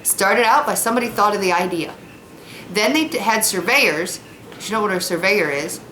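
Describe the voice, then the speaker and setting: A woman's voice: medium pitch, moderate pace, bright, forceful tone, roomy sound, a little hiss or hum, poor audio. A woman teacher explaining a read-aloud to children in simple, informal language. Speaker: elementary school teacher. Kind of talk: read-aloud lesson with casual explanation to pupils.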